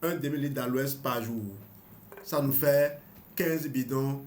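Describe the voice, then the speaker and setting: A man's voice: mid-range voice, slow pace, a little mellow, slight background noise. A man in his fifties reading a health book aloud and adding his own comments, often hesitating and restarting. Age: 50s. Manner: hesitant, with restarts and small laughs. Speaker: health channel host.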